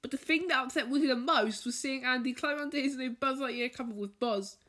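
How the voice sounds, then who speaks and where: A woman reading a children's bedtime story aloud, haltingly, stumbling over and repeating words as she goes. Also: sits high, fairly bright tone. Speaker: storybook reader.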